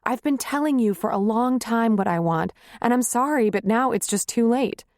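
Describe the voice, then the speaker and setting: A woman's voice: on the high side, normal speed, a little mellow, dry recording, clean studio sound, voice pushed up, close to an everyday voice. A woman voicing a weary, fed-up wife who is grieving and angry, speaking in raised, heated tones. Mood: upset. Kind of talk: audiobook character reading.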